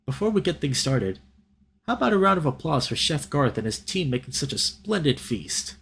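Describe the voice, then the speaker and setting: A man's voice: on the high side, big pitch swings, moderate pace, vocal fry, fairly bright tone, a little hiss or hum, voice pushed up, full character voice. A man voicing a nervous, earnest young otter filmmaker in a visual novel, with a hesitant, folksy manner. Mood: nervous, announcing.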